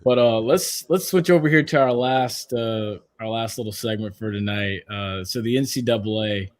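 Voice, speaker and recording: A man in his twenties hosting a casual sports podcast chat, telling stories in an animated way. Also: lowish voice, normal speed. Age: 20s. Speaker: podcast host.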